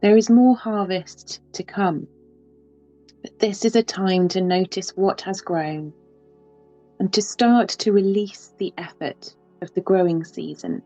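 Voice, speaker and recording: A woman leading a guided yoga nidra relaxation, speaking softly and unhurriedly with long pauses. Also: medium pitch. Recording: slightly dull, with soft music behind.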